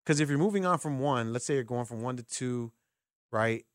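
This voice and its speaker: A man's voice: medium pitch, fairly quick, no room sound, studio quality. A man hosting a sports call-in radio show, thinking aloud in a conversational way and asking rhetorical questions. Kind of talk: sports call-in talk, opinionated commentary. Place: radio studio. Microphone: close studio microphone.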